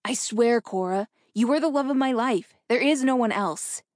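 A woman's voice: mid-range voice, steady tone, moderate pace, vocal fry, no echo, natural voice. A worn-out husband played by a woman narrator pleads his innocence in audiobook dialogue, recorded very dry. He sounds earnest and exhausted as he insists on his love. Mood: earnest pleading. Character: weary, pleading husband denying an affair.

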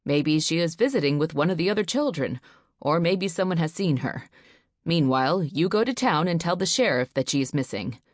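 A woman's voice: deep voice, lively intonation, moderate pace, dry recording, clean studio sound. A woman voicing a stern, worried father who gives firm orders to his family.

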